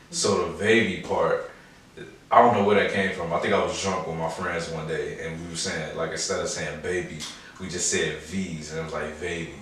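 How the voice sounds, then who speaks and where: A man's voice: rumbling low, fairly bright tone. An American man in his twenties from Chicago, chatting casually as an interview guest with slangy, laid-back, joking talk. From Chicago, United States. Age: 20s. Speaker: guest, rapper and producer. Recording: very echoey room, distant mic, clear noise.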